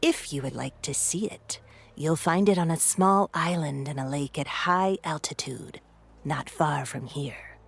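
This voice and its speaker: An actress playing a solemn, learned head of a clan of chroniclers in a video game, speaking in a measured, formal storytelling way. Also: female voice, sits low, creaky, husky, exaggerated voice. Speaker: clan historian and leader. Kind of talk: video game cutscene acting.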